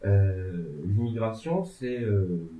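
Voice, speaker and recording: A man's voice: lowish voice, expressive, takes it slowly, mellow, faint music behind. A man discussing politics in a casual, rambling way, with many hesitations and joking asides. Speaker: legal and political commentator. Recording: tinny phone-like sound in an echoey room.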